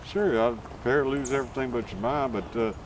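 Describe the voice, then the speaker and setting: A man's voice: mid-range voice, fast. A man with a Southern accent, an author talking loosely as an interview guest, full of homespun sayings. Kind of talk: casual interview chat. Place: outdoors at a rural gathering. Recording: noisy outdoor field recording.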